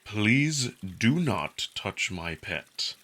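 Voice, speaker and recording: A man's voice: fairly low pitch, big pitch swings, drawn-out words, pushed and bright, full character voice. A man voicing a big, hot-tempered, protective male wolf alien in a visual novel, speaking in a stern, curt, indignant way. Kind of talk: visual novel character acting.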